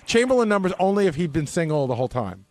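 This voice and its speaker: A man's voice: fairly high pitch, sing-song, fast talker, a little mellow, no room sound, clean studio sound. A talkative man chatting casually on a radio show panel, reasoning things out aloud. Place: radio studio.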